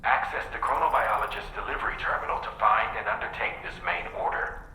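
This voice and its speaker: A businesslike dispatcher on a game radio call. His brisk voice is very sharp and echoing, with faint noise around it. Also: on the low side. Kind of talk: video game radio message.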